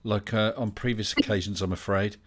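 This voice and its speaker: A man's voice: lowish voice, steady tone, fairly quick. A man hosting a live online chat stream, blunt and mocking, laughing often. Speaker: host.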